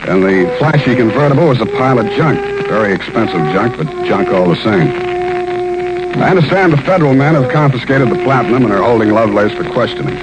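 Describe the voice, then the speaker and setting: An actor playing a tough, cynical private detective with an American accent, wry and clipped in 1940s radio style. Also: male voice, mid-range voice, lively intonation, fast talker, loud music behind the voice, lightly acted, low-quality recording. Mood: wry, grim. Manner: wry, clipped, world-weary. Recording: old 1940s radio recording.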